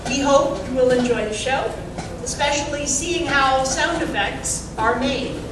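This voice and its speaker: A woman giving a live welcome speech to an audience as director of a theatre company. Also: sits high. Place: large echoey indoor venue with an audience. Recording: noisy, very echoey hall from far off.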